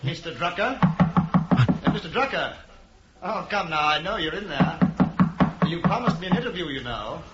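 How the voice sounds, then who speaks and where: Radio drama part: nagging, coaxing visitor behind a door, highish and dulled. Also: male voice, big pitch swings, moderate pace, pauses often. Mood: coaxing, insistent. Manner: coaxing, persistent. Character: insistent, fussy man calling through closed door. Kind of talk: old radio drama acting. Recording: muffled, distant, loud music.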